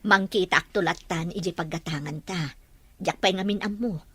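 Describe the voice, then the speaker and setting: A woman's voice: lowish voice, moderate pace, husky, dry recording. A woman acting an earnest, middle-aged rural mother with a Filipino accent in an Ilocano audio drama. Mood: homely, practical.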